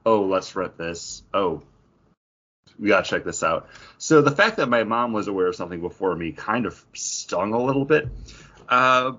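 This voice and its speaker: Animated, low-voiced man chatting. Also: normal speed, highs cut off. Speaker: podcast co-host. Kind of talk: casual pop-culture chat.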